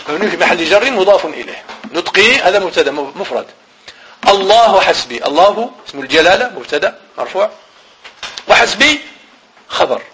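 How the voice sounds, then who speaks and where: A man's voice: high voice, pushed and bright, slight background noise, poor audio. A man with a Moroccan Arabic accent teaching a grammar lesson. He repeats phrases, asks the class questions and then answers them himself.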